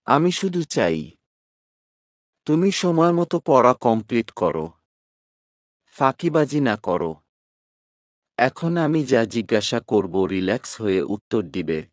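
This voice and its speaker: A man voicing a calm, slow-talking young husband. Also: mid-range voice, dry recording, clean studio sound. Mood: gently reassuring. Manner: soft-spoken, reassuring, deliberate. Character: calm young man, firm but gently reassuring husband.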